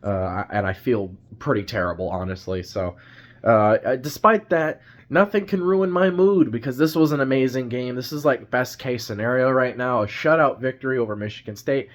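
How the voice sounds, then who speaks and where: A man's voice: mid-range voice, normal speed. A young man with a stuffy, congested voice gives a rambling post-game recap as a sports fan vlogger, full of fillers and restarts. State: congested, has a cold.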